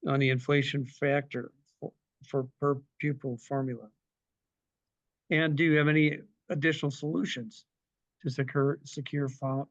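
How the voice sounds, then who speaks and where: A man moderates a forum and reads out long prepared questions at a steady, plain pace. His voice is mid-pitched, and the sound is dry and slightly dull. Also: no room sound. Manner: plain, steady reading with small stumbles.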